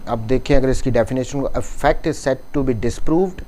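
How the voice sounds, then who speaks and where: A Pakistani man lectures on law at a slow, deliberate pace in a mid-pitched voice. He reads out formal clauses in English, then explains them step by step as a teacher would. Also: mellow, slight background noise. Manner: mixes English legal terms and slowly read passages into explanation.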